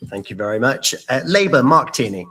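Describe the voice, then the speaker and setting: A man with a lively, varied pitch and a mid-range voice. He moderates a hustings, thanking speakers and formally introducing the next. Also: brisk, slightly rough. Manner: brief, formal announcements.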